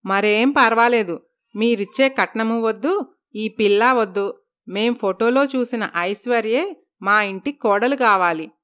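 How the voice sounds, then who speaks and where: A woman voicing a stern, commanding middle-aged mother-in-law who admonishes with both affection and threat in an animated story. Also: a little high, unhurried. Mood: insistent.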